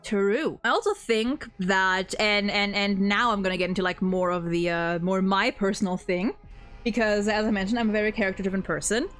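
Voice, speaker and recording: A woman in a casual chat reviewing a game story, talking in an animated, rambling way with many stammered repeats. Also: medium pitch, moderate pace, soft background music. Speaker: streamer and video co-host.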